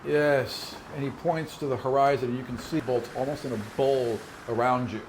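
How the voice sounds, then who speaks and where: A man's voice: mid-range voice, steady tone, moderate pace. Male game master, noisy room recording. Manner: informal, conversational, swears casually. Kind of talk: narrating a tabletop role-playing game.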